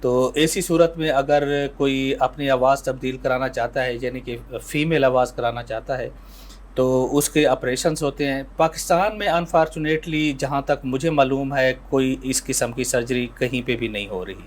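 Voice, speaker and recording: A man with a Pakistani accent, an ENT surgeon giving measured explanations in an interview and mixing in English terms. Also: mid-range voice, fairly even intonation.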